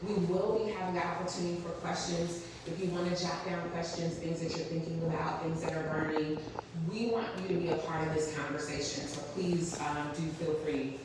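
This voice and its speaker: Low-voiced woman moderating a panel. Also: little pitch movement, fairly quick. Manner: warm, earnest, with frequent fillers. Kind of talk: moderating a public panel discussion. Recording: echoey room, mic from a distance, background noise.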